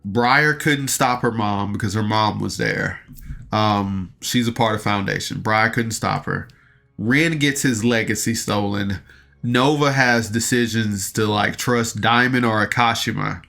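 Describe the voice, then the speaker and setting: A man's voice: lowish voice, steady tone, unhurried, vocal fry, sharp tone, faint music behind. A man hosting a review podcast, casually recapping an audio drama in an informal, rambling way.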